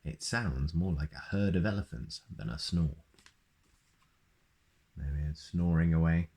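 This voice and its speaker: A man's voice: very deep voice, unhurried, a little mellow. A man reading a children's picture book aloud in a playful way, adding sound effects. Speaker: storyteller.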